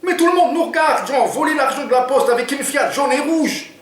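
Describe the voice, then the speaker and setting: A man's voice: extremely high pitch, a little fast, a bit bright, pitched above the performer's own voice. An author reading aloud, playing a nervous young male robber sidekick who keeps protesting. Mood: alarmed. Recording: roomy echo, distant mic.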